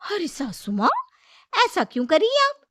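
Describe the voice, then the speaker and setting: Woman playing high-pitched, wheedling daughter-in-law. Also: wildly swooping pitch, normal speed, a bit bright, no echo, studio quality, heavily acted. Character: scheming, stingy daughter-in-law, brash and wheedling. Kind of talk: Hindi moral story dramatization.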